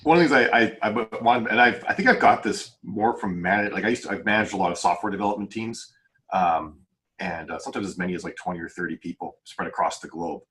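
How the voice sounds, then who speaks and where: A man with a deep voice talks very quickly in a casual panel discussion. He thinks aloud and explains things in a rambling way. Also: a bit bright.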